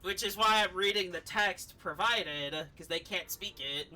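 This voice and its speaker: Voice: medium pitch, a little slow, pushed and bright, low-quality recording. A streamer commenting playfully on a game, reading its text aloud and stumbling and swearing along the way.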